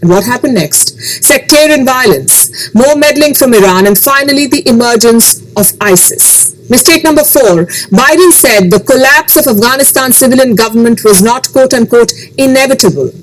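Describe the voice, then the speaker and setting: A woman hosting a scripted news commentary, speaking emphatically with rhetorical questions and dramatic pauses. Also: fairly high pitch, animated, slight background noise, low-quality recording.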